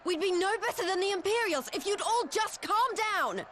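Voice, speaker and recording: Urgent woman in a mob scene, sharp, piercing pitch, slight noise behind, fantasy game. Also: lively intonation, moderate pace, full character voice. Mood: alarmed, urgent. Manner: urgent, strained shouting.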